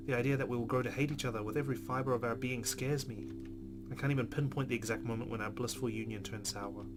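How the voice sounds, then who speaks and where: A man hosting a let's play, reading visual novel narration aloud in a measured, expressive way with casual asides. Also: mid-range voice. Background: game music with plucked guitar.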